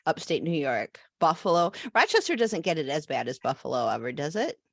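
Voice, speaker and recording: A woman co-hosting a casual chat, talking in a sympathetic, expressive way. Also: deep voice, brisk, gravelly, no room sound, clean studio sound. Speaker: podcast co-host.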